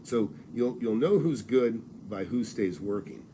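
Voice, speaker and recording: A man's voice: lowish voice, lively intonation, measured pace, background noise. Blunt, folksy older man in his sixties. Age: 60s. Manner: blunt, folksy and salesy, with frequent restarts. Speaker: real estate mentor and channel host. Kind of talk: live-streamed advice talk.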